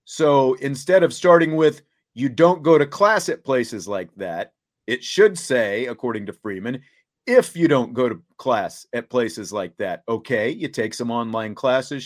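A man with a mid-range voice hosts a sports talk show. He reads and comments deliberately, setting out each point step by step. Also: mid-range voice. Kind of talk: sports talk, reading quotes aloud and commenting.

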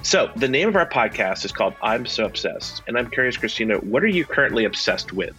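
A man's voice: medium pitch, very animated, brisk, pushed and bright, faint music behind, low-quality recording. A man hosting a podcast, asking a guest curious, open questions in a casual way. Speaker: podcast host. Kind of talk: casual interview.

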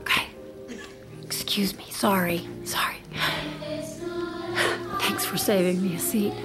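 An actress playing a reserved middle-aged mother who speaks softly and hesitantly, stammering a little, in an audio drama. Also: fairly low pitch, steady tone, slow, whispered, loud music behind the voice. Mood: whispering. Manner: hesitant, soft-spoken, stammering.

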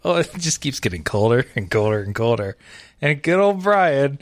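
A man's voice: medium pitch, sing-song, moderate pace, no echo. A man co-hosting an esports podcast in casual chat, rambling with fillers and laughter. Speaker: podcast co-host.

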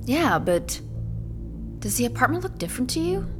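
An actress playing a frightened, sharp-tongued young woman trapped in an apartment in an audio horror drama. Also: high voice, sing-song, moderate pace, over music. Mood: hushed, uneasy. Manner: sarcastic edge under fear.

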